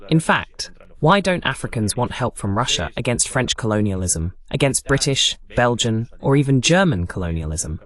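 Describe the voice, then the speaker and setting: A man's voice: medium pitch, sing-song, normal speed, few pauses, a bit bright. A man hosting a live political broadcast, arguing emphatically with rhetorical questions and repetition. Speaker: political show host.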